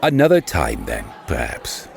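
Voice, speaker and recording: Haughty nobleman for a storybook: deep, gravelly, coolly polite, over faint crowd murmur. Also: wildly swooping pitch, measured pace, heavily acted. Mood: stiff, coolly polite. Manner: stiff, coolly polite, condescending. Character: haughty, cool aristocratic lord, host of a ball. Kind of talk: full-cast audiobook acting.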